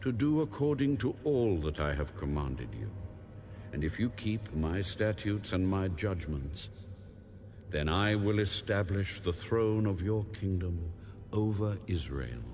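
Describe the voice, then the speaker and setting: A man playing God in a dramatized Bible reading, solemn and commanding, speaking in a grave, measured way. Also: deep voice, animated, a little mellow, a little hiss or hum. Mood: solemn promise. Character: God, solemn commanding male deity giving a warning. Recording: music under the voice. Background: music.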